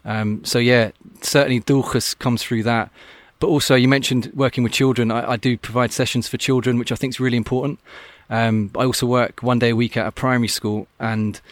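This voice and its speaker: A man's voice: mid-range voice, fairly even intonation, a little fast, hardly any pauses, no echo. A man who works as an open-water swim coach, talking earnestly and thoughtfully in a casual podcast interview, often restarting his sentences. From Scotland.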